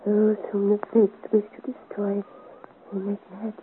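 An actress playing a frail, dying young woman in a 1950s radio serial, mournful and resigned, speaking weakly in halting phrases. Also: medium pitch, monotone, close to an everyday voice. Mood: urgent, failing. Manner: halting, weak, broken phrases. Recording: scratchy, tinny 1950s radio recording.

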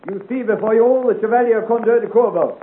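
An actor playing a flamboyant rogue cavalry officer in a 1950s radio serial, florid and mockingly courteous. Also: male voice, high-pitched, quick pace, narrow, tinny audio, close to an everyday voice. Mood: mock-polite, swaggering. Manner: florid, pompous, grandly ironic. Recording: scratchy 1950 radio recording, thin band.

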